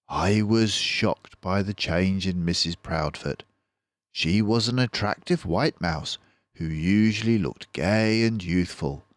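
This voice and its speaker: A man's voice: low voice, no echo. An older man reading a children's book aloud as a storyteller.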